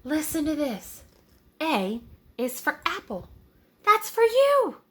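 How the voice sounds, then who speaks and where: A woman's voice: high voice, huge pitch swings, slow, a bit bright, voice pushed up, full character voice. A woman voicing a proud, eager little kindergarten boy in a children's storybook read-aloud. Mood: proud, excited.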